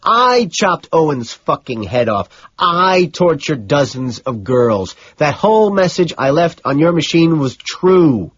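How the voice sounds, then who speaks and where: A man's voice: on the high side, huge pitch swings, moderate pace, creaky, slightly rough, dry recording, highs cut off, voice pushed up, heavily acted. A man playing an intense, unhinged businessman who speaks with strained, emphatic insistence. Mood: desperate, insistent.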